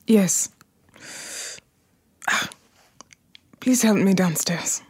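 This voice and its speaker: A woman's voice: medium pitch, extremely slow, darkish tone, a little hiss or hum. An actress playing an imperious, formal Harlem numbers queen in an audio drama, poised and commanding, dropping French phrases. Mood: commanding, composed.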